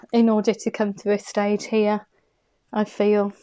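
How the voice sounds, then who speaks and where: Mellow-voiced woman reading tarot. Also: mid-range voice, monotone, slow pace, low-quality recording. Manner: chatty and effusive, thinking aloud, frequent laughs. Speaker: tarot card reader.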